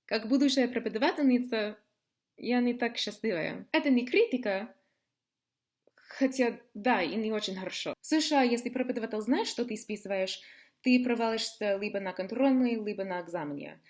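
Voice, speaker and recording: A woman's voice: on the high side, moderate pace. Woman speaking wry, Russian. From United States. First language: English. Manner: wry, deadpan asides, switching into English. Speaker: vlogger. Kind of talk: casual vlog monologue.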